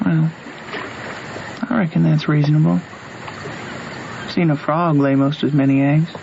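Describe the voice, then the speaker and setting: A man's voice: fairly high pitch, steady tone, unhurried, gravelly. A man playing a curious, thoughtful boy with a Southern accent, chatting in folksy style in a radio drama. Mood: musing. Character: Huck, curious musing Southern boy on a raft. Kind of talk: old-time radio drama acting. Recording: muffled noisy old radio recording.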